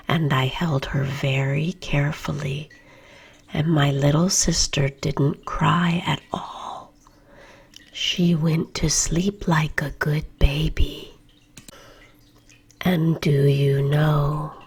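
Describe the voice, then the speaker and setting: A woman whispering a children's story at bedtime in a soft, slow, soothing voice that lingers on repeated words. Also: sits low, gravelly, husky. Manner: soft unvoiced whisper throughout.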